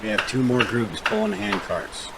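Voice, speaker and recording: A man's voice: fairly low pitch, normal speed, lightly acted. A man playing a practical survivor camp organiser in an audio drama, businesslike and directive. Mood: decisive. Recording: clearly noisy background.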